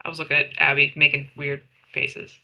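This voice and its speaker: A woman's voice: low voice, monotone, brisk, muffled recording. A woman playing in a tabletop roleplay game, chatting informally and swearing freely. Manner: sweary, informal, hesitant restarts. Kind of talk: casual in-character roleplay chat.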